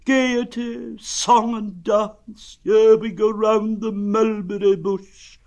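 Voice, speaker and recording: A man voicing a gloomy, self-pitying old grey donkey in a children's storybook, with a slow, mournful, sarcastic delivery. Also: high voice, little pitch movement, darkish tone, voice pushed up. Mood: mournful sarcasm.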